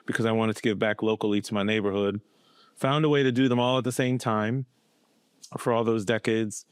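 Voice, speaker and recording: A man in his fifties from Boston, telling his life story as a podcast guest in a reflective, measured way. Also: on the low side, clean tone, dry recording, clean studio sound. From Boston, USA. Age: 50s. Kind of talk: casual conversational interview.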